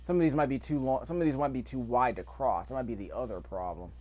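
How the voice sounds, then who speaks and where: A man's voice: medium pitch, quick pace, darkish tone. A man giving casual let's-play commentary, rambling and thinking aloud as he goes. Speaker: gameplay video host. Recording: clear background noise.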